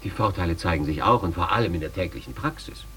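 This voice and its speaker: A man's voice: deep voice, quick pace, a bit bright. A man giving a formal expert lecture with carefully built sentences.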